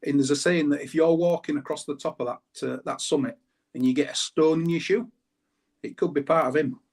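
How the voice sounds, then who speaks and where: A man's voice: fairly high pitch, fairly even intonation, brisk, darkish tone, husky. A British man, a guest on a show, explaining things in casual conversation. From United Kingdom.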